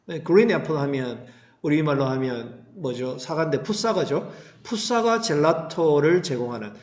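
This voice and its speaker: A man teaching an English reading lesson, explaining line by line and putting questions to students that he answers himself. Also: fairly high pitch. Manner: didactic, asks rhetorical questions and answers them. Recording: roomy, echoing sound.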